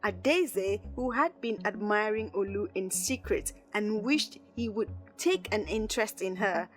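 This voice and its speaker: Slow delivery, woman, scripted folktale narration, storyteller, faint background music. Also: mid-range voice, animated.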